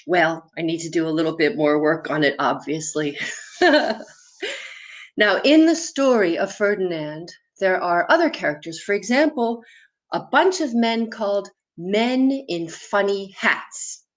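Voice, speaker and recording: A woman's voice: on the low side, animated, measured pace. A woman presenting to young viewers as a children's storyteller, explaining props and puppets simply and putting questions to them. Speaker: children's storyteller and theatre performer.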